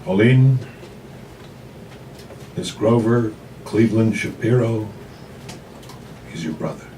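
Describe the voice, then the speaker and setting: A man voicing a grieving, anxious mother in a live dramatic novella reading, in a hushed, halting way. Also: on the low side, fairly even intonation. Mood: grave. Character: Bella, grieving, anxious mother confessing a painful secret. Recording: distant mic, noisy live room.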